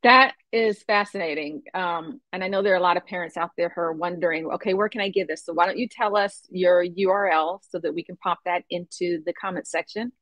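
A woman in her fifties hosting an online interview show, upbeat and expressive, with easy laughter. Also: fairly low pitch, brisk, few pauses, dry recording, rough recording. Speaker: talk show host. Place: home room.

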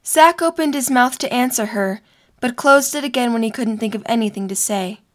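A woman's voice: fairly high pitch, moderate pace. A young woman reading scripted story narration for an audio drama.

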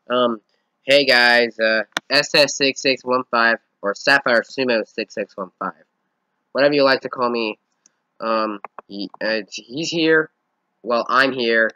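A man's voice: medium pitch, pushed and bright, low-quality recording. A fourteen-year-old boy, congested, giving a rambling, hesitant channel update with casual swearing. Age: teens. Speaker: channel owner.

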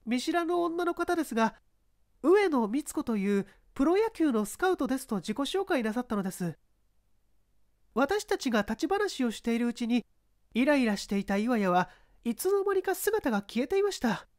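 A woman acting young female witness, high-pitched, polite, formal, composed, dry close sound, mystery audiobook. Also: sing-song, moderate pace, darkish tone, no echo. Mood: earnest, explaining. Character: composed young woman giving testimony to police. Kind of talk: dramatized mystery story reading.

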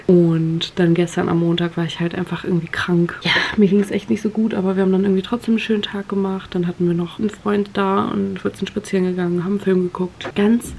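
A woman's voice: mid-range voice, a little mellow, a little hiss or hum. A young woman in her twenties talking casually to a handheld camera at home, a bit congested from a cold, upbeat and chatty. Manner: chatty, upbeat, mixes in English words. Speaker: vlogger. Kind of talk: casual vlog chat to camera. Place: small room at home. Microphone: handheld compact camera at arm's length.

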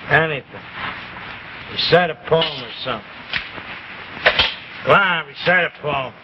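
An actor playing a surly, sarcastic, bullying husband in a 1950s live TV drama, sneering and barking orders. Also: male voice, fairly high pitch, expressive, a little slow. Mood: sarcastic, needling. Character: surly sarcastic beer-drinking working-class husband, bullying and bitter. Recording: dull, very noisy 1950s TV recording.

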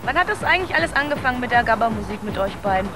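A woman hosting a music TV show, asking brisk, curious questions in an outdoor interview. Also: on the high side, expressive. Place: outdoors on a harbour waterfront.

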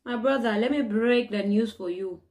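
A young woman from Cameroon telling her own stories to the camera, animated and exclaiming, acting out the people she met. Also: on the high side, moderate pace. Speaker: vlogger. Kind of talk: casual personal storytelling to camera.

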